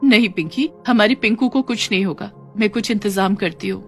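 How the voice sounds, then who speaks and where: A woman's voice: mid-range voice, normal speed, faint music behind, lightly acted. A woman voicing a worried, devoted poor mother in a cartoon moral story. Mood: reassuring.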